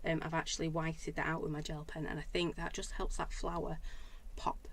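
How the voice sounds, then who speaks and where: A woman hosting a live craft stream, showing handmade cards and greeting viewers by name in a chatty, enthusiastic way, her voice a little croaky. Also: deep voice, level tone, fairly quick. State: slightly croaky voice. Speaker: craft stamping demo host.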